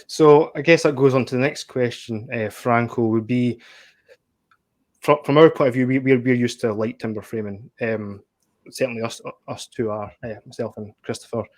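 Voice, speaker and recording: A man's voice: mid-range voice, steady tone, normal speed. Male host, casual chat, stumbles and repeats while asking questions. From Scotland. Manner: chatty, repeats words while framing questions. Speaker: podcast host.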